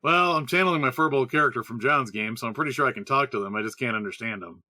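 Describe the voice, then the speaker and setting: A man's voice: medium pitch, quick pace, bright, forceful tone, no room sound. A man playing an exasperated, practical gnome adventurer in a comedy audio drama.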